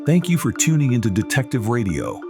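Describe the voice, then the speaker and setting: A man's voice: mid-range voice, big pitch swings, fairly quick. A man reading a scripted outro as the announcer of a mystery drama show. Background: music.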